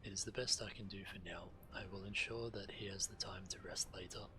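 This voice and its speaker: An actor playing a grave, resourceful shaman in a fantasy video game, with measured, deliberate, serious delivery. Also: deep voice, steady tone, noisy background, close to an everyday voice. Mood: resolute. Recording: game music behind.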